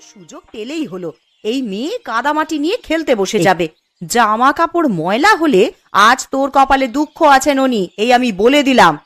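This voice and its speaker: A woman plays a poor village wife and mother in a cartoon tale. Her voice is high-pitched, and she speaks in a fretful, complaining manner. Light music plays behind her. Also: animated, moderate pace, a bit bright. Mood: scolding. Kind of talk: cartoon story dialogue.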